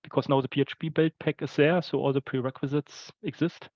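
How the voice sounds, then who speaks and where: A man's voice: on the high side, fairly even intonation, fairly quick, darkish tone, dry recording. Man, hedging explainer, casual tech meeting talk, muffled online call, little room sound. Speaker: software working group participant.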